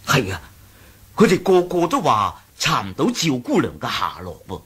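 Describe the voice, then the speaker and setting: A man's voice: mid-range voice, wildly swooping pitch, normal speed, a bit bright, slightly rough, full character voice. A man with a Hong Kong Cantonese accent playing a reassuring, pondering follower of a sect leader in a radio drama. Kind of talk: 1980s radio drama acting.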